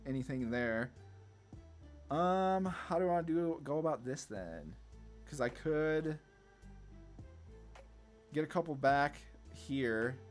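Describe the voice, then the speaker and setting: A man giving casual card game commentary, thinking aloud and often questioning his own choices as he goes. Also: medium pitch. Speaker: gameplay video host. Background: background music.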